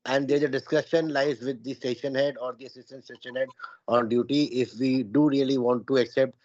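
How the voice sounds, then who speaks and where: A man's voice: mid-range voice, darkish tone, no echo, muffled recording. A man asking a question as a staff member in a work meeting.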